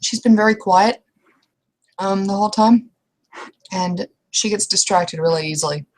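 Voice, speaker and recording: A woman setting the scene for a roleplaying game in halting, improvised description. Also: mid-range voice, darkish tone.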